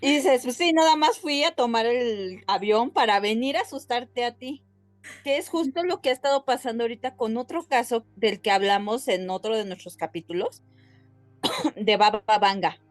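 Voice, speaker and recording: Dry-sounding, fairly high-voiced woman podcaster. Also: moderate pace, dry recording, soft background music. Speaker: podcast co-host. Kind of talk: casual joking podcast chat.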